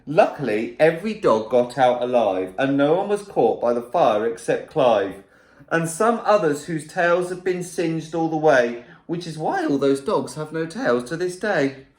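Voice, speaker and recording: A male primary school teacher reading a rhyming picture book aloud to children in a playful, lively way. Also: fairly high pitch, reverberant.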